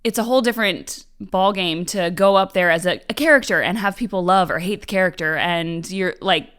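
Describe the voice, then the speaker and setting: A woman's voice: on the low side, brisk, fairly bright tone, clean tone. A woman co-hosting a podcast in a casual, conversational style. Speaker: podcast co-host, actor and new writer. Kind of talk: casual chat.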